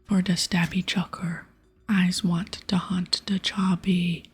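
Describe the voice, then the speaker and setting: A woman voicing a crude, hungry ogre hunter in a breathy whisper, with childlike broken grammar, for an ASMR game playthrough. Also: fairly low pitch, little pitch movement, soft background music, heavily acted. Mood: eager, demanding. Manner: broken baby-talk grammar, drawn-out words.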